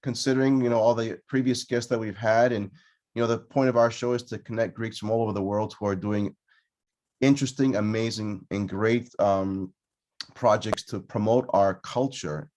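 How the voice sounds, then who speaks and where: A man's voice: fairly low pitch, fairly even intonation, moderate pace, darkish tone. A man hosting a podcast, introducing his guest with an upbeat tone and frequent fillers. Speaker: podcast host. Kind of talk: casual podcast interview.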